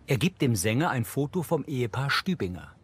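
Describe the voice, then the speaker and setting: A man's voice: mid-range voice, sing-song, a little fast, husky. A man narrating scenes from a script as audio description, neutral and concise.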